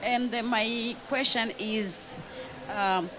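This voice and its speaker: A woman asking an impromptu question from the audience at a panel event, in a halting way. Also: on the high side, fairly even intonation, pushed and bright. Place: conference pavilion with small audience.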